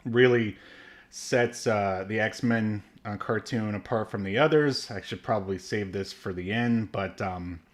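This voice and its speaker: A man's voice: on the low side, unhurried. A man co-hosting a podcast, rambling along with lots of ums and likes and laughing often. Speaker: podcast co-host. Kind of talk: casual chat.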